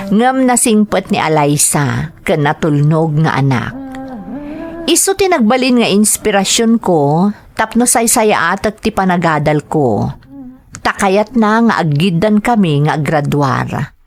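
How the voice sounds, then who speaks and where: A woman playing an earnest young woman who reflectively recounts her life story, with an Ilocano Filipino accent. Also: medium pitch. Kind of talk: dramatized first-person storytelling.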